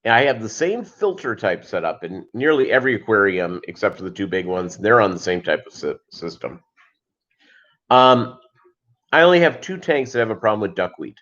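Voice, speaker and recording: A man's voice: fairly low pitch, normal speed. Rambling man on a dull lo-fi livestream. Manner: rambling, joking, deadpan asides. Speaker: host of a live fishkeeping chat show. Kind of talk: casual livestream chat.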